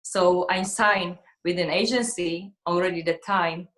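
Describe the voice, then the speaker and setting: A woman's voice: fairly low pitch, steady tone, measured pace, a bit bright. A woman in her forties from Slovakia with a Slovak accent, speaking conversationally as an interview guest. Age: 40s. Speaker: interview guest, fashion model.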